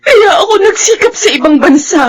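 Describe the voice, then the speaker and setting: A woman's voice: very high-pitched, expressive, a little fast. A woman acting in a Filipino radio drama as an embittered, anguished older sister, emotional and heavy-hearted. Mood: sobbing anguish.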